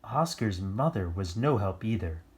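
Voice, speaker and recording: A children's story-time reader giving an animated picture-book read-aloud, playful, with chatty asides and exclamations. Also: deep voice, measured pace.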